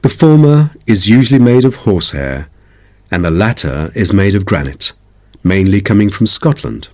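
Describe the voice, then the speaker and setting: A man playing a polite sports club expert, giving a formal, instructive scripted talk. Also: sits low.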